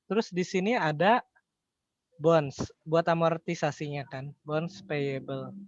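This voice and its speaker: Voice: medium pitch, takes it slowly, no echo. Casual Indonesian tutor, dry muffled sound. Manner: casual, colloquial, step-by-step. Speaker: accounting tutor. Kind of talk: informal tutoring explanation with rhetorical questions.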